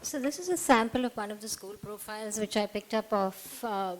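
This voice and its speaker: A middle-aged woman speaks into a podium microphone at a conference. She works methodically through a list of points, often pausing on fillers. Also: mid-range voice. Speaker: school counselor. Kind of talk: conference presentation. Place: hotel conference room, at a podium.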